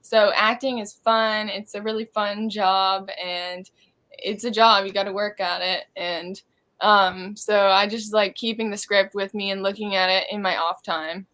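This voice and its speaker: Woman actor in an interview, bright clear-cut tone, chatty and thoughtful, mid pitch. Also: fairly even intonation, unhurried. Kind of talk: casual interview advice.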